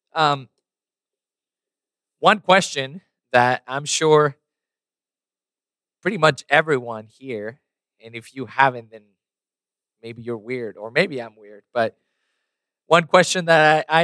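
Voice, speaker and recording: A man's voice: mid-range voice, pushed and bright, clean tone, no echo. A man preaching a sermon and praying, losing his voice a little, with many fillers and restarts. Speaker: pastor. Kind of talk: sermon with prayer.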